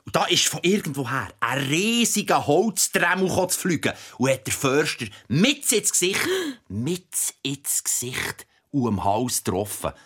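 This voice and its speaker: Lively Swiss German storytelling father. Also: mid-range voice, breathy edge, heavily acted. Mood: ominous, dramatic. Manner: earthy, dramatic, drawn-out emphasis. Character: earthy father telling a spooky bedtime story. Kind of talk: dramatic storytelling in a radio play.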